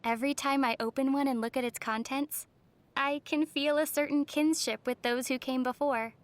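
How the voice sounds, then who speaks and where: A young wandering adventurer girl from a fantasy video game, acted by a woman. Her voice is high and youthful. She speaks softly and with composure, with a wistful temper and a dry, teasing edge. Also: fairly even intonation, normal speed, no room sound, natural voice. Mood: calm, reflective.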